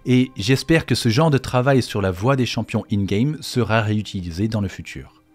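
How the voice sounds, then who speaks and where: A man's voice: lowish voice, moderate pace. A man narrating a scripted explainer as the host of a video on game lore. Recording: faint music behind the voice. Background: faint background music.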